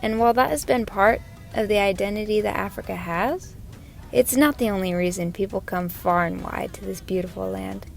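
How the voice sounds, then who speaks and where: A woman's voice: mid-range voice, gravelly, a little mellow. A woman reading scripted documentary narration as the narrator of a film.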